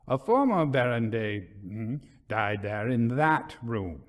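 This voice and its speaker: Guy doing an old french caretaker in a ghost story, halting and ominous, voice rising and falling, scared of the place. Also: medium pitch, big pitch swings. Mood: ominous dread. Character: old French caretaker, superstitious, mysterious and frightened.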